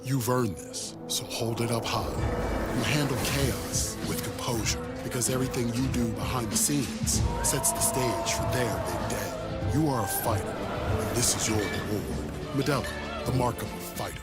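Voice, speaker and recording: Male commercial narrator, mid-pitched, solemn and motivational, over very loud music. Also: moderate pace. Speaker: advertisement announcer.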